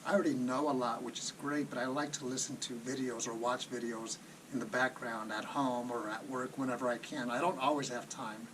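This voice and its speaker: A man's voice: mid-range voice, fairly even intonation, brisk. A man giving casual, off-the-cuff commentary as a video host, rephrasing as he goes and posing rhetorical questions with plain advice. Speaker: personal finance video host. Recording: distant mic, clearly noisy background.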